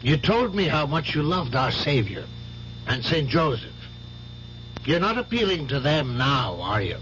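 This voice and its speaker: A concerned priest in a vintage radio play speaks with slow, measured gravity and a slightly high voice. He pleads earnestly. The recording is dull, with faint hum. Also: sing-song, a bit bright. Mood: probing, grave. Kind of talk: 1970s radio drama acting.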